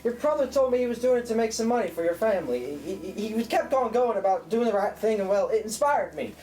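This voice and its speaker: A woman playing a well-meaning sixteen-year-old boy in a radio play, halting and apologetic. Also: mid-range voice, expressive, warm tone, far from the mic, natural voice, rough recording. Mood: defensive, halting. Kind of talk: holiday radio play acting. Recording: recorded away from the mic.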